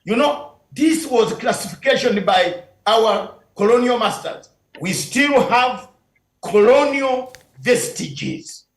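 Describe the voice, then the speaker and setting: A man's voice: very high voice, animated, slow. A man speaking as a guest on a news panel, emphatic and declamatory, raising his voice. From Uganda. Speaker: guest commentator. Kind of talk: impassioned debate on a news panel. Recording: echoey room, far from the mic.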